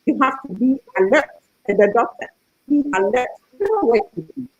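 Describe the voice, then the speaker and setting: A woman's voice: fairly high pitch, very animated, normal speed, husky, no room sound, dull audio. An earnest woman, a parent guest, talking about her experience on a video call. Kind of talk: online talk show chat.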